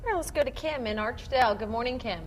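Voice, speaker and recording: Higher-voiced woman radio host. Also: quick pace. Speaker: radio show host introducing callers. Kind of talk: brisk call-in show hosting. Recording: clearly noisy background.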